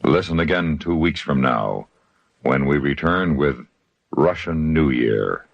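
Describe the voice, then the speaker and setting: A man making dramatic, deliberate scripted announcements in 1950s radio suspense style. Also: very deep voice, lively intonation, no echo, lo-fi sound. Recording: vintage radio recording with clear music.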